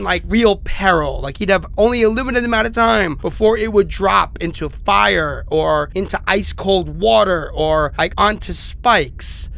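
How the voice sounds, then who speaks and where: An actor playing an isolated, unwell man leaving halting, confiding, informal messages in a horror audio drama. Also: high voice, vocal fry, bright, forceful tone, full character voice. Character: isolated, sick, rambling man enduring supernatural peril.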